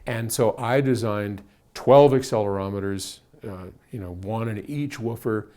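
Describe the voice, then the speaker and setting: A man's voice: lowish voice, unhurried, dark tone. An older American man talking casually as a host answering viewer questions, explaining technical detail step by step in a conversational way.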